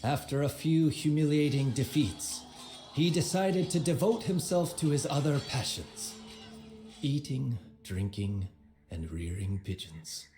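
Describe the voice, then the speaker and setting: An actor playing an aging, proud conqueror who tells his life story in a measured, theatrical, wry way, as dramatic game narration. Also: medium pitch, lively intonation, dark tone, close to an everyday voice. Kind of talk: dramatic scripted narration of a memoir. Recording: roomy voice over background music. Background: music.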